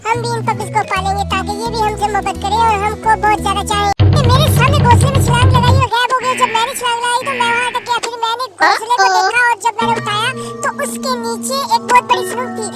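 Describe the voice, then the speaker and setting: A woman voicing an excitable little child in a children's cartoon, with an eager storytelling manner. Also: very high-pitched, fast talker, hardly any pauses. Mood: amazed. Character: excitable little child who feeds puppies and explores. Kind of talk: Hindi kids' cartoon dialogue. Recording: loud cartoon music, distant processed sound.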